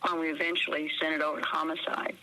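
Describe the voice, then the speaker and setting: A woman's voice: low voice, flat delivery, rapid-fire, pushed and bright, a little hiss or hum, poor audio. A woman playing a guarded, blunt park ranger investigator, answering interview questions matter-of-factly with an American accent. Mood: guarded, wry.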